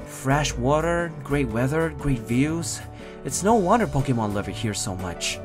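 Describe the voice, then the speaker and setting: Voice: medium pitch, sing-song, unhurried, music in the background. A performer playing an earnest, cautious young creature sidekick in an audio drama, speaking politely and chattily. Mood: pleased. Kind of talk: audio drama character acting.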